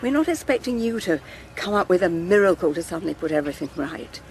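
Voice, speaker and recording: A woman's voice: lowish voice, lively intonation, fast, a little hiss or hum. An actress playing an anxious, self-sacrificing older mother in a naturalistic radio drama, genteel, hesitant and rueful. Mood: weary, resigned.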